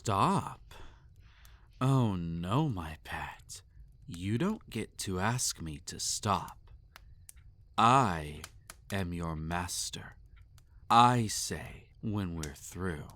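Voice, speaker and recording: A man playing a possessive, sadistic vampire master in an intimate roleplay audio, teasing and domineering, often slipping into breathy whispers. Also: sits low, animated, extremely slow, pauses often, a bit bright, dry recording, full character voice. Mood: possessive, commanding.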